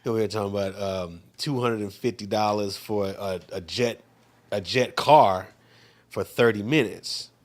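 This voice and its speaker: A man's voice: lowish voice, moderate pace. A man co-hosting a casual livestream chat, telling a laid-back story with lots of fillers and restarts. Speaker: co-host.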